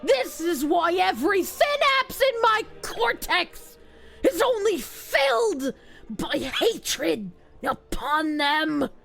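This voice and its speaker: A woman voicing an obsessed, self-pitying man in a theatrical, anguished dramatic reading that breaks into shouting. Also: very high-pitched, very animated, takes it slowly, gravelly, voice pushed up, heavily acted. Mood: hysterical rage. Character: ranting, self-pitying, obsessed man blaming everyone, melodramatic villain. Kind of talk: dramatic reading of social media posts.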